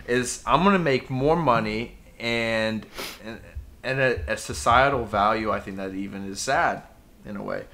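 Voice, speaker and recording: A man's voice: on the low side, unhurried, bright, forceful tone. A young man co-hosting a casual podcast, ranting with passion and bursting into emphatic outbursts. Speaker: podcast co-host.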